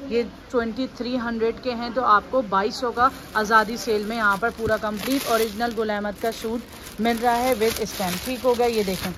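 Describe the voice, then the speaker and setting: An upbeat woman narrates a shopping walkthrough, her voice pitched a little high and peppered with fillers. She is recorded on a handheld phone in a large warehouse. Steady store noise hums clearly behind her. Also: moderate pace. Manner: upbeat, repeats fillers like "theek hai". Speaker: vlogger. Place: large busy clothing warehouse indoors. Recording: noisy store ambience.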